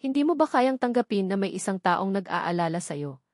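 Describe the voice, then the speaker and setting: Radio drama husband, played by a woman: calm, caring, earnest, soft-spoken, dry close recording. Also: medium pitch, moderate pace, dry recording, close to an everyday voice. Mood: pained.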